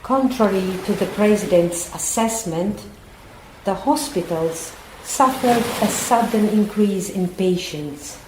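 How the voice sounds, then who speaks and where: A woman playing a grave narrator in a scripted audio drama about a disaster, measured and serious. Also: medium pitch, close to an everyday voice. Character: grave female narrator reporting an unfolding pandemic. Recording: echoey room, clear background noise.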